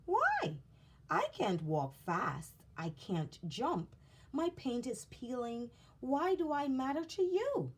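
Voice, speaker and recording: A little wooden puppet boy from a children's storybook, voiced by a woman, full of timid self-doubt. The delivery is plaintive and questioning, with lively swoops in pitch and a husky edge. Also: slow, heavily acted. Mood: pleading, doubtful.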